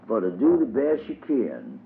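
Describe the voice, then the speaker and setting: A man's voice: lowish voice, huge pitch swings, unhurried, gravelly, heavily acted. A man voicing an earnest old male folk preacher who teaches children in a drawling, sermonizing dialect. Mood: patient questioning. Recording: tinny lo-fi old recording with faint hiss.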